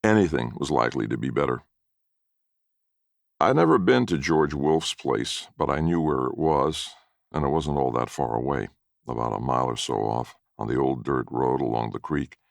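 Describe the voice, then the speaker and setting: A man's voice: very deep voice, normal speed, vocal fry, no echo, studio quality. A man reading a first-person story as narrator in a folksy storytelling manner.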